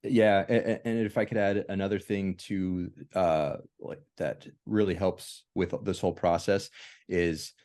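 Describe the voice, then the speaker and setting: A man hosting a casual, reflective group discussion, speaking haltingly and often circling back to rephrase himself. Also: deep voice, steady tone, darkish tone. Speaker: host of a veterans' discussion group.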